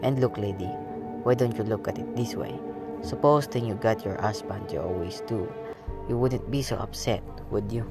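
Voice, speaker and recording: A man playing a stolid, patronizing police desk sergeant in a radio play, placating and unhurried. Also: fairly low pitch, gravelly, over loud music. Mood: dismissive, reasoning.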